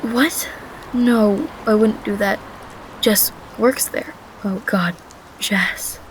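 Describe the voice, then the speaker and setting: A woman's voice: mid-range voice, very slow, slight background noise, poor audio. A woman playing a frightened but determined young woman on the run in a first-person radio drama. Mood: distressed.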